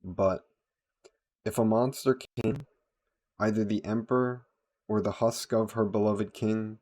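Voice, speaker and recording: A man voicing a brooding, solemn vampire who narrates a dark tale in a deliberate, dramatic storytelling style. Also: fairly low pitch, steady tone, lightly acted. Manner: slow, deliberate, solemn delivery. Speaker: audio roleplay performer, storyteller.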